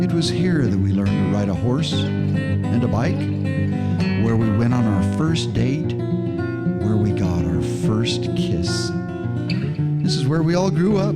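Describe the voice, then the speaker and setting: A man announcing an old-time radio show with scripted, nostalgic narration in a measured storytelling manner. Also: mid-range voice.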